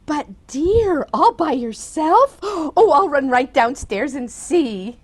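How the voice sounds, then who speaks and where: An actress playing a gentle, easily delighted elderly spinster aunt in an amateur audio drama. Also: female voice, high-pitched, expressive, slow. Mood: fluttery. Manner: soft and fluttery.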